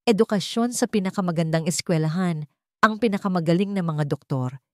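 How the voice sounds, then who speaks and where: A woman's voice: on the low side, a little fast, dry recording, clean studio sound, lightly acted. A woman reading the lines of a cold, domineering old wealthy patriarch in a commanding, businesslike way.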